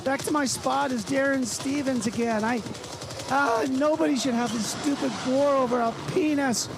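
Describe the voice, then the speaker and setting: A man acting an exasperated old-time sitcom star phoning a comic radio show. The voice is high, and he scolds and pleads with the host. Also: moderate pace, creaky, noisy background. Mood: exasperated, pleading. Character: exasperated caller claiming to be a time-displaced sitcom actor. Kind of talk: comic phone-in radio skit.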